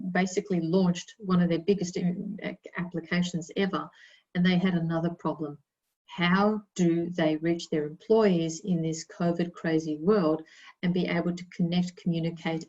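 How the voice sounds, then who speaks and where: A woman's voice: lowish voice, a little mellow, lo-fi sound. A woman whose first language is Italian, giving an emphatic, chatty webinar presentation with fillers. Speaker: innovation presenter.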